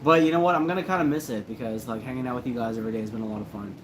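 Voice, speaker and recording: A man hosts a loose, joking livestream and sounds easygoing and a little tipsy. His voice sits at a middle pitch. A steady hiss of room noise runs under everything he says. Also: very fast, rough recording. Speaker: host of a paranormal channel livestream. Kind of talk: casual livestream chat reading comments.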